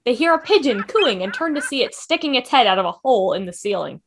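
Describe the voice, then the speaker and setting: Very high-voiced woman reading stage directions. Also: big pitch swings, fast talker, a little hiss or hum. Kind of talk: scripted narration for a table read.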